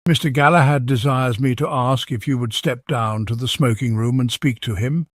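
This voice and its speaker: A man's voice: mid-range voice, steady tone, moderate pace, no echo, studio quality, natural voice. A synthetic male narrator voicing a portly, long-serving English butler, formal and deferential, in a comic storybook.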